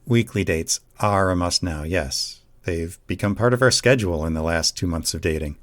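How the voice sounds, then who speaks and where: A man plays a devoted boyfriend in an intimate audio drama. His voice sits a little low. He is gentle and earnest, with a casual, conversational delivery. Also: normal speed, close to an everyday voice. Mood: relaxed, fond. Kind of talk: amateur audio roleplay acting.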